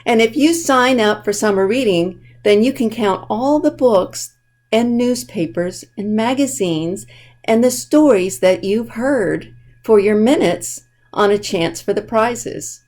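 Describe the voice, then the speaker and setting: Expressive woman reading a children's story. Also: a little high, measured pace. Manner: expressive, talks directly to young listeners. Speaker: children's librarian, storytime reader. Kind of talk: animated children's storybook read-aloud with asides.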